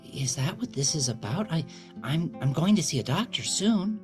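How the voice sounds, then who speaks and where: Audio drama wife, played by a man: pitched up, anxious, disbelieving, halting, guitar music behind. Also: animated, natural voice. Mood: anxious, pleading. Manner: halting, repeating words. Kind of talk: dramatic audio fiction dialogue.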